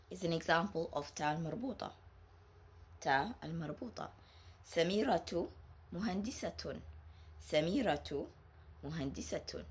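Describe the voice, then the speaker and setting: A woman whose first language is Arabic, from Saudi Arabia, teaching a patient Arabic lesson and repeating each example word slowly. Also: fairly low pitch, husky.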